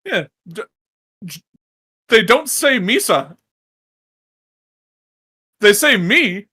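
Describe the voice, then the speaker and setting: Blunt man with a bright voice. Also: sits high, very animated, very slow, slightly rough. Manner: blunt, swearing, teasing. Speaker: podcast co-host. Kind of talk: casual chat.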